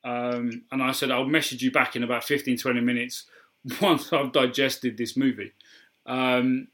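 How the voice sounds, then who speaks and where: Hombre inglés con voz brillante. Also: male voice, mid-range voice, fairly bright tone. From England. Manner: chatty, hesitant with frequent ums and restarts. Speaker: podcast co-host. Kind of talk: casual film discussion.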